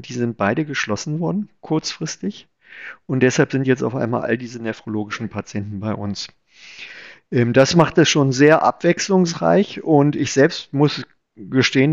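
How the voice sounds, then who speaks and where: A man's voice: medium pitch, vocal fry, no room sound. A middle-aged man from Germany, a chief physician, explaining his work in a conversational podcast interview with frequent hesitations. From Germany (Palatinate-born, raised in Berlin). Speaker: guest, chief physician in nephrology. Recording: dull, dry remote recording.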